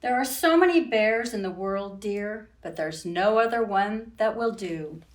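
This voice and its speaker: A woman's voice: mid-range voice, unhurried. A woman, a children's librarian, hosting storytime and reading aloud expressively to young children, with sing-song rhymes. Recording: roomy echo, distant from the mic.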